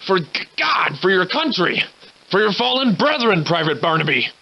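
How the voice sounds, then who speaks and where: A man's voice: high voice, very animated, normal speed, gravelly, full character voice. A man voicing a surly, hard-drinking, grandiloquent survivor in a video game, with florid old-fashioned diction, in slightly muffled audio. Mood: resolute. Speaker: video game protagonist voice actor. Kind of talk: theatrical video game character dialogue.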